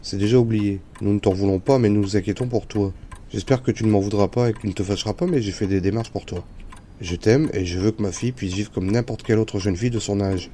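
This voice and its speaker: A man playing a worried, gentle, apologetic father who speaks tentatively and carefully in an audio drama. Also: fairly low pitch, quick pace, slight background noise, natural voice. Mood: earnest. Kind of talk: amateur French audio fiction dialogue.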